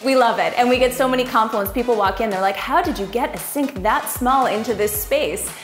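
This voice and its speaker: A woman's voice: on the high side, a little fast, reverberant. A woman, giving a house tour as the homeowner in an interview style to camera. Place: indoors, in a home kitchen. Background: background music.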